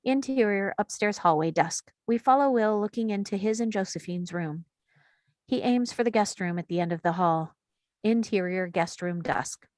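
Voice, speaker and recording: A woman's voice: medium pitch, moderate pace, a little mellow, no room sound. A woman reading scripted screenplay action and scene headings as a narrator at a table read. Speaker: stage directions reader.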